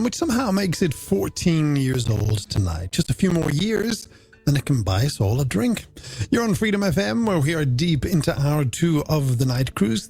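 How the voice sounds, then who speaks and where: A man's voice: fairly high pitch, gravelly, a little mellow. A man presenting late-night music radio, with playful, theatrical and wordy banter. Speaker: radio host. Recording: music bed behind the voice.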